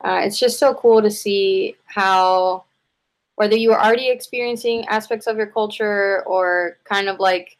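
Woman host, flat delivery, affirming and casual with lots of fillers. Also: mid-range voice, a little slow, poor audio. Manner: warmly affirming, with many "like" fillers. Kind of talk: casual podcast chat.

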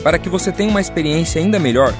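An announcer reading a brisk scripted church announcement. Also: mid-range voice, animated. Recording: voice-over with music underneath.

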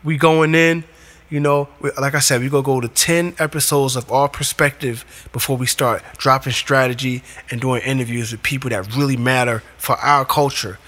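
A man with a raspy voice hosting his own show, delivering an emphatic motivational monologue in short punchy commands. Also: medium pitch, steady tone, moderate pace, a bit bright. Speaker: podcast host.

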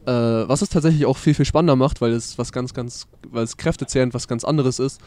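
A 19-year-old man talks fast and eagerly about his team. His tone is warm and mellow, and he often restarts mid-thought. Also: mid-range voice. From northern Germany. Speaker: junior football player guest. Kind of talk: interview answers.

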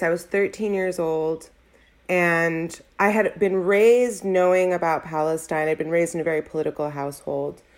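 A woman in her thirties with an American accent, an academic guest answering reflectively in a measured way with frequent pauses. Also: low-pitched. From United States.

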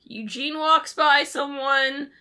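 A woman podcast co-host in casual comedic banter, excitable, informal and salty-tongued. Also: high voice, takes it slowly.

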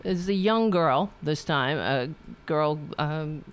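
A woman's voice: deep voice, slow pace, clear, resonant tone. A woman with a New York accent from Staten Island, hosting a political talk show in a rambling, profane monologue full of restarts. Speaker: podcast host.

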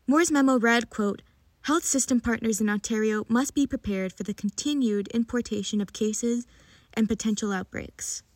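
Higher-voiced woman reading radio news. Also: normal speed, a little mellow, no echo.

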